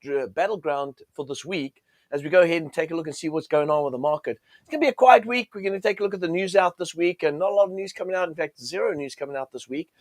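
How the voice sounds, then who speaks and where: A man with a cold, his voice a little croaky, hosting a chatty forex chart session with lots of fillers and restarts. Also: fairly high pitch, quick pace, dry recording. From United States. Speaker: forex trading show host. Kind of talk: casual chart commentary.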